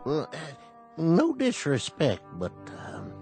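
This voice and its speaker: An actor playing a timid, henpecked widower with a gravelly voice and a hesitant, folksy manner in a radio drama. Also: male voice, fairly high pitch, huge pitch swings, takes it slowly, a little mellow, faint music behind, heavily acted. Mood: sheepish, hesitant. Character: timid, henpecked male widower, comically reluctant.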